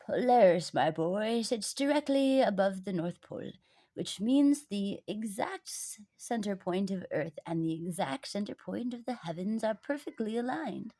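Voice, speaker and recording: A wise old storybook grandfather, read by a woman, teaches his grandson. He explains gently and with reverence. The recording is dry and close. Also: mid-range voice, lively intonation, unhurried, dry recording. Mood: reverent teaching.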